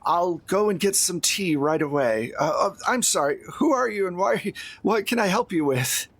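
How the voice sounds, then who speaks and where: A man voicing a flustered, kindly church sister in a tabletop game, halting and apologetic as she fusses over strangers. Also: sits high, animated, a bit bright, no room sound, voice pushed up, exaggerated voice. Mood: flustered, concerned.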